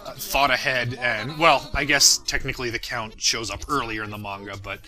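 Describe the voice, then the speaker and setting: A man with a strikingly bright, forward voice at an average pitch talks casually over his own fan parody. He is irreverent and self-deprecating, swears easily and tends to chuckle through his unscripted remarks. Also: fairly even intonation, normal speed. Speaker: creator giving commentary on own fan parody series. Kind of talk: casual unscripted video commentary.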